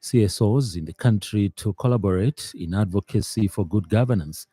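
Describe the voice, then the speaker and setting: A man with a Zambian accent reading a scripted radio news bulletin in a measured, formal, slightly hesitant way. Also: on the low side, warm tone, breathy edge, no room sound. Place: radio studio.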